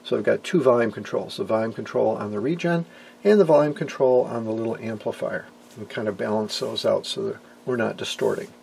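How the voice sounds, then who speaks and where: A man's voice: mid-range voice, fairly quick, vocal fry. A man giving a casual, technical hands-on demonstration as an amateur radio operator, explaining as he goes. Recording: loud radio static and noise behind.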